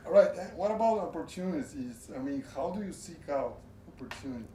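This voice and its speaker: A man's voice: a little high, expressive, a little fast, mellow, far from the mic, a little hiss or hum. A man interviewing a musician, asking short questions with hesitant restarts. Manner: brief reactions, hesitant restarts. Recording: echoey, from off-mic.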